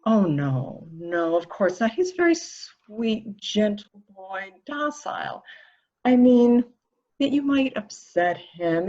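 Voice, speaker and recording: A woman's voice: mid-range voice, husky, dull audio, heavily acted. A woman playing an evasive, protective woman in a murder mystery reading, defensive and primly indignant. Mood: anxious, protective. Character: evasive, protective woman guarding an excitable boy.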